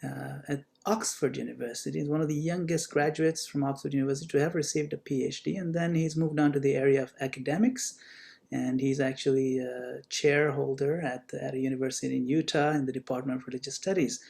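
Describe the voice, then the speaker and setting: A man hosting at a temple, giving a halting formal introduction of a guest speaker with many fillers. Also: mid-range voice, fairly even intonation, vocal fry, a little mellow.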